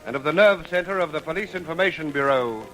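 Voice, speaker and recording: A man narrating an old radio crime drama in a solemn, measured, portentous scripted style. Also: on the high side, lively intonation, music in the background, poor audio.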